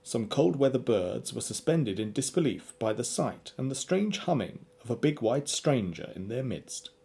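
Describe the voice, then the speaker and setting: A man plays a wry storyteller narrating an audio drama. The voice is warm and dark, with vocal fry and a lively rise and fall as it sets the scene. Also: medium pitch, moderate pace. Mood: wry, scene-setting.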